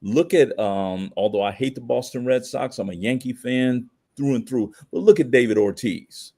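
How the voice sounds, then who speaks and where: A man's voice: mid-range voice, moderate pace, creaky, dark tone, husky. An American man giving opinionated commentary in conversation as a podcast guest, emphatic and slangy, often posing rhetorical questions.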